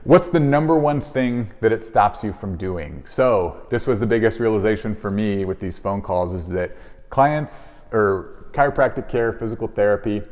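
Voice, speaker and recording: A man's voice: lowish voice, animated, moderate pace, a little mellow. A man giving informal, conversational instructional advice as a chiropractor presenting to viewers, explaining in plain everyday words. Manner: conversational, explanatory, voices example questions and replies. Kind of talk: informal instructional talk to camera. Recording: very reverberant, echoey room.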